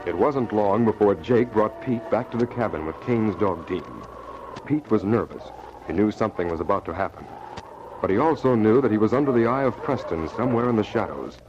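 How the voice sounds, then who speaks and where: A man's voice: on the low side, lively intonation, a little fast, over music. A man narrating and announcing an old-time radio adventure in a dramatic, scripted style. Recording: muffled, noisy old radio transcription.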